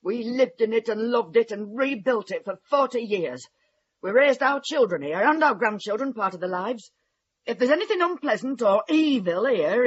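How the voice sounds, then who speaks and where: A proud old British woman in a radio play, husky and blunt. She stands her ground with indignant dignity. The sound is dull and muffled. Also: a little high, no echo. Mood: wounded, firm. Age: older. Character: indignant, proud, plain-spoken old grandmother, no sweet lady. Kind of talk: British radio drama acting.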